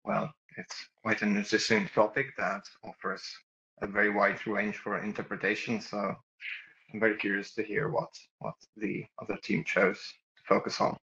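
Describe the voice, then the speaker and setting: A man's voice: fairly low pitch, flat delivery, normal speed, bright, forceful tone, dull audio. A young man, a student debater, giving a polite introductory remark on a call. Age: young adult.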